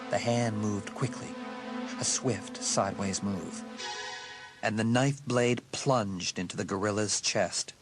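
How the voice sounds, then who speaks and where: Man narrating a horror story over music. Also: on the low side. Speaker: audiobook narrator. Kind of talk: dramatised scripted horror narration.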